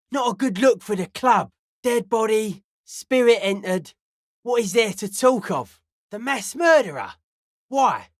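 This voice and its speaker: A man's voice: high-pitched, fairly bright tone, no echo, clean studio sound, full character voice. An actor voicing an intense young male dance-music zealot in a video game, with a clipped, blunt, philosophizing delivery full of slang. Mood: agitated, then disdainful. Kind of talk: video game character voice acting.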